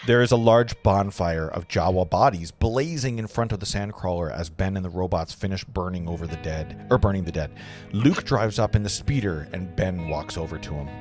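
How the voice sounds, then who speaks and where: Man, low voice, narrating script directions, table read, guitar music behind. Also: moderate pace, darkish tone. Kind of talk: reading screenplay stage directions aloud.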